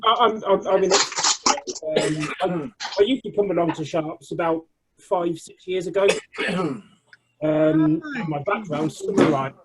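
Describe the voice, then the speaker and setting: A man's voice: sits high, animated, measured pace, pauses often, heavy background noise. Hesitant man on a video call. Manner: hesitant, stumbling speech before singing. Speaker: folk club singer. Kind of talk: casual chat and unaccompanied folk singing. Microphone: computer mic on a video call.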